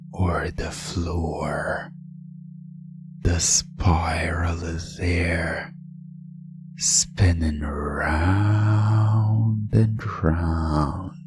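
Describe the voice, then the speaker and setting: Low voiced guy doing hypnosis asmr, super slow and hushed, breathy, music playing behind. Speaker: hypnosis audio narrator. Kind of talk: slow, hypnotic second-person ASMR narration.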